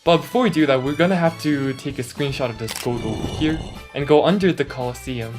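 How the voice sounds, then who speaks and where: A man's voice run through a voice changer over clearly audible background music. He gives casual gameplay commentary as a YouTuber, talking in slang and grumbling mildly, at a medium pitch. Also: a little fast. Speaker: gaming YouTuber. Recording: music mixed under the voice.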